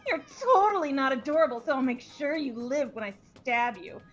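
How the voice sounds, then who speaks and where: A woman's voice: high-pitched, animated, brisk, soft background music. A woman voicing a devoted, volatile young woman in an amateur playthrough of a visual novel game. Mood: brash, menacing. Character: timid, stammering, devoted young woman with a brash sadistic other self.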